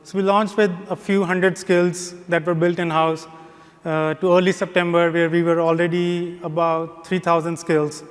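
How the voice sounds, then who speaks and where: Flat-voiced man presenting in a hall. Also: on the high side, normal speed. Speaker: conference presenter. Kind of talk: conference talk. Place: large conference hall. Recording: long reverb of a big hall.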